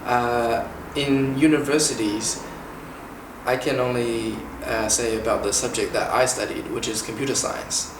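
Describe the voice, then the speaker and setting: A man in his twenties from China, hosting an informal Q&A and explaining things in a measured way with frequent "uh" pauses. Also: mid-range voice, steady tone. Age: 20s. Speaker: interviewer and former international student. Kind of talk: informal Q&A, explaining and asking questions. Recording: echoey room, mic at a distance, faint hiss.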